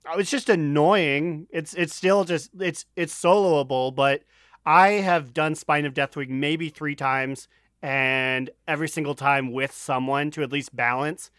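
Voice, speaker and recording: A man with a sharp, bright voice, recorded close and dry. He speaks as a podcast co-host in casual gaming chat, complaining wryly and cursing a little. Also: a little high, steady tone, a little slow, clear, resonant tone, no room sound.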